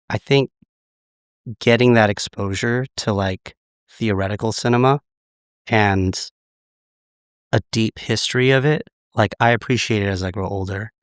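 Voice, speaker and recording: A man in his late thirties, a podcast guest, slightly raspy from a recent illness, talking in a relaxed, reflective way. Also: fairly low pitch, dry recording, clean studio sound. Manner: relaxed, reflective, many "like" fillers. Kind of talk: casual interview chat.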